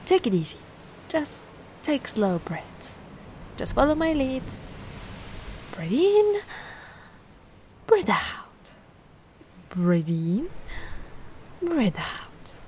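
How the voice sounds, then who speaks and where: A woman from Italy voicing a cute, kindly, anxious giant mermaid in an ASMR roleplay, flustered and softly cooing. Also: high voice, a little hiss or hum, exaggerated voice. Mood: soothing, relieved.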